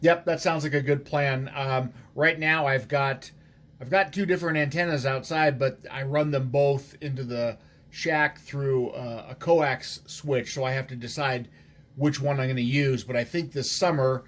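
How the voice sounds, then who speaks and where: A man speaking as an amateur radio operator in casual conversation, in a halting, hesitant way. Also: mid-range voice, steady tone, fairly bright tone.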